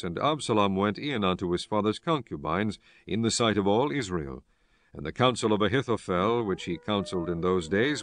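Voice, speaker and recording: A man giving solemn, measured narration for a scripted scripture audiobook. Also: fairly low pitch, fairly bright tone.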